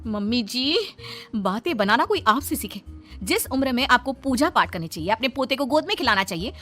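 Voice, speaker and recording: Woman acting an indignant, high-pitched young housewife. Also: expressive, a little fast, a bit bright, soft background music. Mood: indignant, scolding. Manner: scolding, dramatic, gossipy. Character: indignant, prudish married woman and daughter-in-law.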